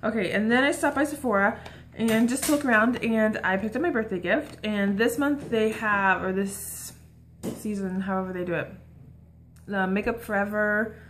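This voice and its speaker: Casual young woman vlogger, noisy recording. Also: medium pitch. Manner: informal, chatty. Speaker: beauty and shopping vlogger. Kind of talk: casual chat to camera. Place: small room at home, indoors.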